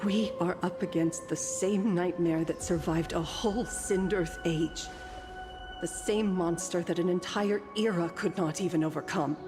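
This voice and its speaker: Woman playing an ancient dignified guardian, lowish voice, formal, regretful, hall reverb, music behind. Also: steady tone, lightly acted. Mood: remorseful. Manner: measured, formal. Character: solemn thousand-year-old female guardian spirit, regretful and dignified. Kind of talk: dramatic video game acting.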